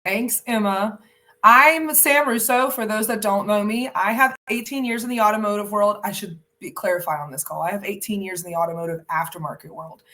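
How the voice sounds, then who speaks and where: A woman's voice: medium pitch, fairly quick, pushed and bright. A woman talking in a chatty, self-correcting way. She sits somewhat back from her microphone, so her voice sounds distant. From Atlanta, Georgia, USA. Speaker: industry head. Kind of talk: self-introduction. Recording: distant from the mic.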